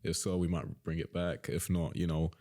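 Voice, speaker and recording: A man's voice: sits low, level tone, normal speed, mellow. A young man in his twenties co-hosting a casual podcast, rambling and packing his speech with "like" and "you know". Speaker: co-host and interviewer.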